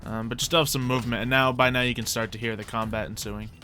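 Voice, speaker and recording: A man's voice: medium pitch, fairly even intonation, fast, bright, forceful tone, soft background music. A man acting as game master, casually narrating and ruling on a tabletop fantasy game in an easygoing way.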